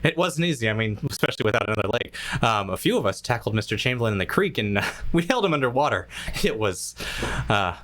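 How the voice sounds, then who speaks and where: Brief: man, mid pitch, lively, keeps laughing at the script, video call. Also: fast talker, slight background noise. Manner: laughs through lines, loose. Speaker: cast member in a comedic radio play reading. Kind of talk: 1940s radio acting, chuckling asides.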